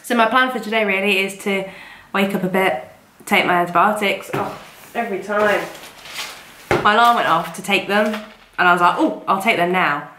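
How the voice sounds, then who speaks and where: A woman's voice: medium pitch, moderate pace, pushed and bright, slight background noise. A young woman with a cold, chatting casually to camera in a rambling way, her voice stuffy and nasal. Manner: chatty and rambling, many ums and likes. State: congested with a cold. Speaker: vlogger. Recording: echoey room.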